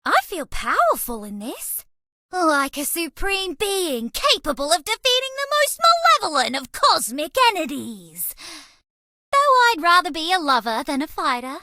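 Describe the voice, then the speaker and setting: Very squeaky, bubbly alien mouse girl. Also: sing-song, measured pace, sharp tone, no echo, clean studio sound, heavily acted. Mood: excited. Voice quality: squeaky, girlish.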